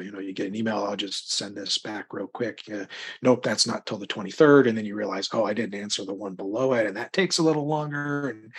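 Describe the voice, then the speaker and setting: Husky low-voiced middle-aged man. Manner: rambling, thinking aloud in broken phrases. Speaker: interview guest, crime novelist. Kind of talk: casual conversational interview answers.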